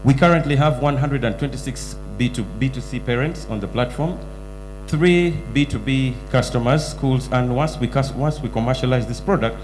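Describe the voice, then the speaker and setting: A man giving a startup pitch, reeling off market and revenue figures. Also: normal speed, background noise. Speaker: entrepreneur pitching at a summit.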